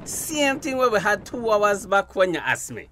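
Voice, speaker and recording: A man's voice: high-pitched, big pitch swings, moderate pace, background noise. An actor with a Belizean Creole accent playing a hard-up, guarded fisherman in a radio drama. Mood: wry. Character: hard-up, guarded male fisherman seeking fresh start.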